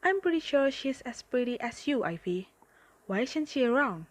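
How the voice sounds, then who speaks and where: High-pitched, bossy unicorn played by a woman. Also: big pitch swings, moderate pace. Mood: sweet, coaxing. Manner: gushing, coaxing, commanding. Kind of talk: amateur radio drama acting.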